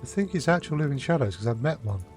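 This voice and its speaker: A man's voice: medium pitch, lively intonation, fast, darkish tone, faint music behind. A British man giving casual gameplay commentary and reading out dialogue choices as he streams. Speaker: game streamer.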